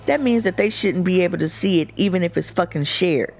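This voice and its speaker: A man's voice: sits high, brisk, gravelly. A man chatting casually as a radio show co-host, telling stories in slangy, profane talk, with music playing behind. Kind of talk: casual chat.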